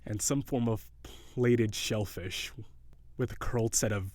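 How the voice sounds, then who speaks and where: A man's voice: lowish voice, measured pace, darkish tone, dry recording, close to an everyday voice. A man voicing a haughty, weary prince turned gigantic sea monster, with formal, old-fashioned, prissy diction in an audio roleplay. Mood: guarded, softening.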